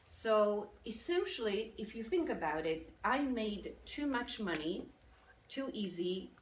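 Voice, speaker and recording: A woman's voice: mid-range voice, slow pace, slight background noise. Polish-accented woman addressing a crowd. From Poland. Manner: lively, audience-engaging. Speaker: speaker. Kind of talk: motivational business talk. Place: event room with audience. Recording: echoey room from a distance.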